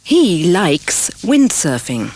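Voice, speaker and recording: A woman presenting a programme for English learners, explaining clearly and deliberately in scripted narration. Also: lowish voice, sing-song, darkish tone. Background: background music.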